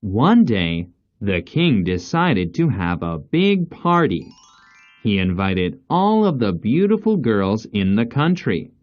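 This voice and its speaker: Slow-paced man narrating a fairy tale. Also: lowish voice, muffled recording, faint music behind. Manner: slow, deliberate, expressive. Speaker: storyteller.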